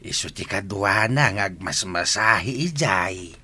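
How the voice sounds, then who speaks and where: A man's voice: on the low side, lively intonation, unhurried, sharp tone, husky, exaggerated voice. An actor playing a respectful, grateful elderly grandfather in a radio drama.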